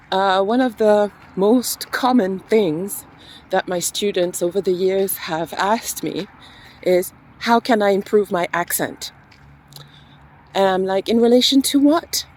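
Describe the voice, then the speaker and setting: A woman teaching English, with a mixed accent carrying French, British and American traces, talking in a slow, encouraging way with many fillers. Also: medium pitch, darkish tone, poor audio. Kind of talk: casual spoken lesson to camera.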